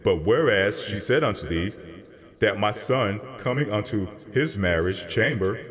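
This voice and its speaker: Commanding scriptural angel, male performer, deep-leaning and unhurried, measured archaic delivery, long echoing reverb. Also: expressive, fairly bright tone. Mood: calm, instructive. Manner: stately, measured, authoritative, archaic phrasing. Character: commanding, comforting male angel explaining a vision. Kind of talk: dramatized scripture reading.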